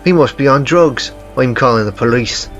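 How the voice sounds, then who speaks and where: A man's voice: mid-range voice, normal speed, a bit bright, muffled recording, soft background music, voice pushed up, natural voice. A man voicing an indignant older landlady who talks in a brusque, scornful way.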